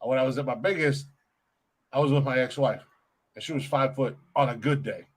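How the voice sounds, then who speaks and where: A man's voice: mid-range voice, brisk. A man hosting a comedy podcast, bantering casually, laughing often and rambling with fillers. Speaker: podcast host.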